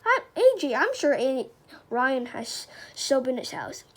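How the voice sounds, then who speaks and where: Woman playing a very high-pitched, exasperated mom. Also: sing-song, unhurried, heavily acted. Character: firm, exasperated mother of a young boy.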